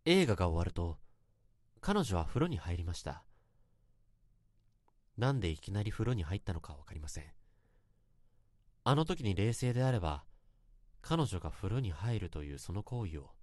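A self-conscious young man makes a formal, earnest confession in a lowish voice. His delivery moves up and down expressively, and the sound is close and dry, as in a radio drama monologue. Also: very animated, moderate pace, pauses often, darkish tone, no room sound. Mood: remorseful.

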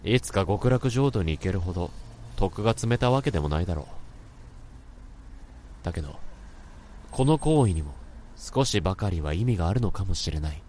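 A man's voice: low voice, lively intonation, moderate pace, pauses often, a little mellow, a little hiss or hum. A man playing a remorseful office worker who hears others' thoughts, narrating his story in an introspective, self-questioning way. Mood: reflective. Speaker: narrator and lead character. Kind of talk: radio drama acting and narration.

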